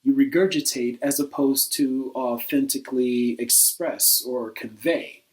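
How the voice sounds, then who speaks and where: A man playing a patient, reasoning skeptic in a satirical dialogue, putting pointed questions in a measured, articulate way. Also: mid-range voice, steady tone, lightly acted, poor audio.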